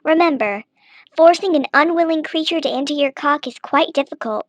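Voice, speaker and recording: A woman's voice: high-pitched, normal speed, dry recording. A woman casually reading a how-to guide aloud in a mock-instructional manner. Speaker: narrator reading an online text aloud.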